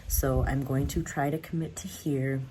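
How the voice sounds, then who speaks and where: A woman's voice: rumbling low, fairly even intonation, normal speed, noisy background. A young woman from the United States talking candidly to her viewers in a casual vlog, rambling and often laughing. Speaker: vlogger. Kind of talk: casual talk to camera.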